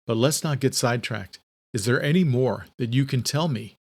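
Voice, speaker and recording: A skeptical male police investigator, acted by a male narrator in an audiobook. He speaks at a medium pitch with a matter-of-fact, probing manner and an air of authority. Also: normal speed, a little mellow, natural voice.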